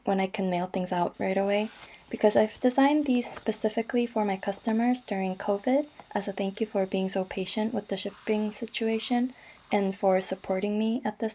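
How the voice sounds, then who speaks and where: A woman narrating her cross-stitch vlog in a chatty, rambling way, her voice a little raspy and stuffy from allergies. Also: medium pitch, dark tone. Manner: chatty, rambling, frequent ums. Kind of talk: casual voice-over vlog narration.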